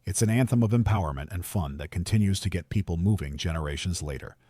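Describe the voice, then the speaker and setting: A man's voice: sits low, fast talker, heavy background noise. A man reading a scripted music countdown as narrator, with upbeat, promotional phrasing.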